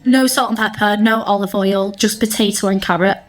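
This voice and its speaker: A woman's voice: mid-range voice, steady tone, a little fast. A woman in her twenties with a British accent, telling informal anecdotes as a podcast guest. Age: 20s. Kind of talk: casual chat, informal anecdotes.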